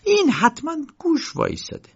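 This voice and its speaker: Impatient older uncle for an audiobook, high strained pitch, loud, demanding, slightly dull recording. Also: male voice, huge pitch swings, creaky, exaggerated voice. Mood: brusque. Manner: scolding, insistent, exclaiming. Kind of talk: audiobook character voice.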